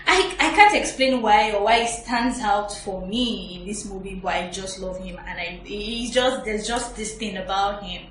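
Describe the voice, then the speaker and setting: A woman's voice: medium pitch, normal speed, hardly any pauses, fairly bright tone. A woman giving her opinions as a panelist in a casual review discussion. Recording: echoey room with faint background noise.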